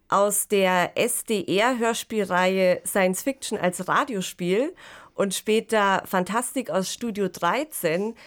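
Casting: female podcast co-host, medium pitch, analytical and engaged, conversational delivery with frequent tag questions. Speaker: political scientist and science fiction analyst.

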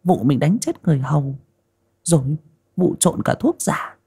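A man plays a sly young wife, her voice raised high and lively. She murmurs in husky, conspiratorial whispers in a spoken storybook. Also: normal speed, heavily acted. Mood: scheming. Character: scheming young wife, sly and sharp-tongued.

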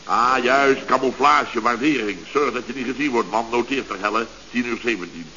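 A man's voice: medium pitch, moderate pace, pushed and bright, close to an everyday voice. Guy doing a pompous bumbling boss in an old radio comedy, stammers and repeats himself, dull hissy recording. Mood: bossy, flustered. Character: bumbling, self-important male contractor boss playing burglar commander. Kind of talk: 1970s Dutch radio comedy serial acting.

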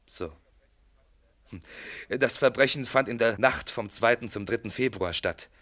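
An actor playing a shrewd, unhurried police inspector in a German radio play, probing in a courteous, matter-of-fact way. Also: male voice, mid-range voice, bright, forceful tone.

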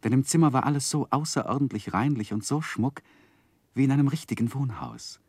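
A man narrating a 1960s radio play as a literary storyteller, measured and expressive. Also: medium pitch, slightly rough, no echo.

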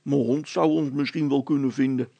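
A man's voice: mid-range voice, fairly even intonation, normal speed, creaky, dark tone, no room sound, lo-fi sound. A man voicing a simple, anxious village policeman in a storybook, hesitant and prone to repeating himself. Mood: worried. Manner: repetitive, hesitant.

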